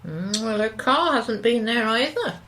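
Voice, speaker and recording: A woman's voice: on the high side, animated, measured pace, a bit bright, slight background noise. An actress playing a curtain-twitching suburban wife in an audio drama, gossipy, anxious and easily shocked, with a British accent and a hesitant, insinuating way of talking. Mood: curious, probing. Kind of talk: audio drama dialogue, naturalistic.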